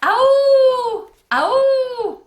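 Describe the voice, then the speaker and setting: A woman voicing a campfire legend monster in a storybook, with wild, loud, drawn-out wailing howls in a roomy space. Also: expressive, exaggerated voice. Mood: eerie howling. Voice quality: loud, drawn-out high howling cry. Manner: wild, spine-tingling wailing.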